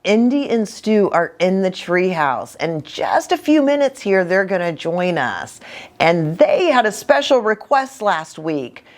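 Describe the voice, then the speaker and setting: A woman hosts storytime for young children with hugely swooping, animated intonation. She takes her time, asking questions and adding asides as she reads aloud. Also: fairly low pitch, a little slow. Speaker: children's ministry host and pastor.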